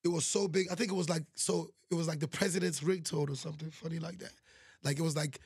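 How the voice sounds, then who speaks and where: A man from Nigeria, a guest musician, telling animated stories in a casual interview and often saying "you know what I'm saying". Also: fairly high pitch, quick pace, breathy edge, no room sound, clean studio sound.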